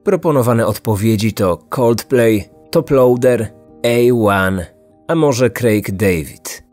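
A man's voice: medium pitch, expressive, takes it slowly, a little mellow, soft background music. A man narrating a scripted true-crime story as a podcast host.

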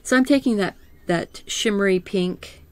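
A middle-aged woman teaching a live painting lesson in a calm, soothing voice, gently thinking aloud as she works. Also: medium pitch, clean tone, no echo. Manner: slow, gentle, thinking aloud with small laughs. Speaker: art teacher.

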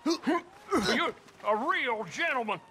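An actor playing an excitable, scruffy squatter in a western video game. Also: male voice, very high voice, takes it slowly, a little hiss or hum, exaggerated voice. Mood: gleeful.

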